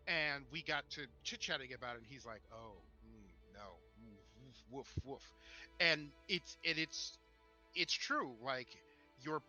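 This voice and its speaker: A man co-hosting a casual discussion, talking in a halting, stop-start, self-deprecating way as he tells anecdotes. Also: on the high side, sharp tone. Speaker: podcast co-host. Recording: dull narrow-band mic, music underneath.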